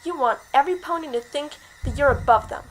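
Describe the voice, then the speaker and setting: Casting: woman as vindictive little pony filly, high voice, icy and taunting, fan-fiction audio drama. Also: fast, over music, natural voice, poor audio. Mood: accusing. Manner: taunting, coldly lecturing. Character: cruel young filly pony, coldly vengeful little sister.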